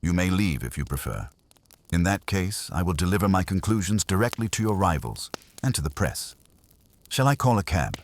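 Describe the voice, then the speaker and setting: A man voicing an imperious, calm detective genius in an audiobook, pompous, formal and husky. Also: low-pitched, normal speed, slight background noise. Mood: calm, commanding.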